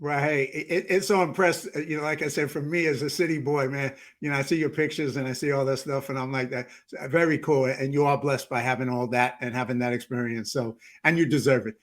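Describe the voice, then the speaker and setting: A man's voice: mid-range voice, steady tone, brisk, hardly any pauses, a bit bright. A man hosting a podcast interview, teasing his guest in a playful, chatty way with many restarts. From New York. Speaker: podcast host. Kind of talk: casual interview chat.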